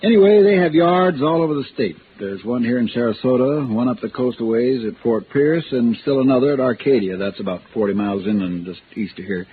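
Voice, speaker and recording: A male actor plays a bluff, domineering husband in a vintage radio mystery. His voice is medium in pitch, with a folksy, interrupting manner. The audio is thin and narrow with faint noise. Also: brisk, hardly any pauses, lightly acted. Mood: insistent, explanatory. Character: jovial, domineering husband and insurance man. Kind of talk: 1950s radio drama acting.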